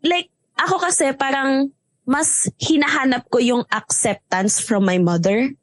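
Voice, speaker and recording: A woman with a Filipino accent and a loud, strong voice, chatting casually and mixing Tagalog with English, with many fillers. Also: sits high, normal speed, no echo. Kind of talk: casual chat.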